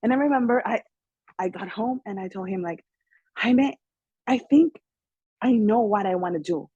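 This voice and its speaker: A woman's voice: mid-range voice, normal speed, slightly rough, no echo, lo-fi sound. Mexican-accented woman, dry and close. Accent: Mexican Spanish. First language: Spanish. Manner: animated, laughs often, earnest. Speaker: guest singer and actress. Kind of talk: casual interview chat.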